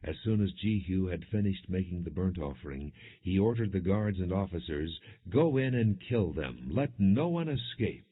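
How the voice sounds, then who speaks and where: The audio is narrow and muffled, like a phone line. A man with a low, faintly husky voice reads scripture as an audiobook narrator. His delivery is measured and solemn, scripted rather than dramatized. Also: animated.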